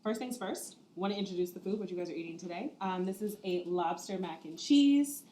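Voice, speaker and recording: A woman in her twenties hosts a dinner-table conversation, chatty and relaxed. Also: lowish voice, fairly quick, roomy sound. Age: 20s. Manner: relaxed, chatty, laughs easily. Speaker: host. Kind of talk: casual dinner-table chat. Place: dining room at a dinner table.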